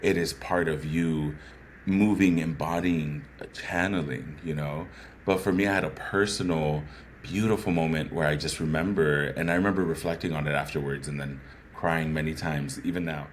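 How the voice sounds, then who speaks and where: Very low-voiced man, thoughtful guest storyteller, light background hiss. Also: steady tone, moderate pace. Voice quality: bassy voice. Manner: earnest, thoughtful, frequent "right?" asides. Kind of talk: reflective conversational storytelling.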